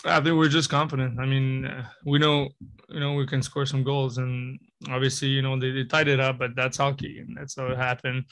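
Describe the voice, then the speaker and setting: A man in his twenties, a hockey player answering questions at a postgame press conference, halting and filling his speech with "you know" and "I mean". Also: medium pitch, little pitch movement, a bit bright, no room sound. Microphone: table mic in front of him.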